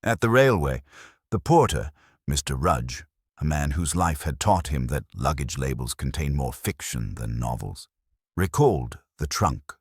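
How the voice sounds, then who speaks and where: A man with a very low voice narrates a scripted story in a measured, literary way. His tone is husky and the pitch moves in lively swings, recorded close and dry. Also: no room sound.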